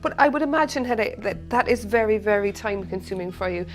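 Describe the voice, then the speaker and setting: A woman's voice: mid-range voice, lively intonation, fast talker. Gushing woman host doing an interview, faint music underneath. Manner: upbeat, effusive. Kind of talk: casual interview.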